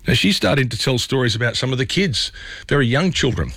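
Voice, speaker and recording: Australian radio host, slightly scratchy voice. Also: male voice, mid-range voice, sing-song, fast talker, a bit bright, husky, dry recording. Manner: conversational, walks listeners through the news. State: slightly scratchy voice from a chest cold. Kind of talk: evening talk radio commentary. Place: radio studio.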